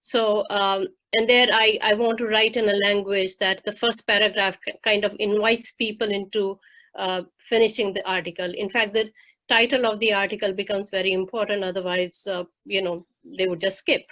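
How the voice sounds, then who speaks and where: Woman, measured explainer. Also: mid-range voice, level tone, a bit bright, poor audio. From India. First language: Hindi. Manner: measured, explanatory, with frequent fillers. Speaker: faculty member. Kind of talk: roundtable discussion.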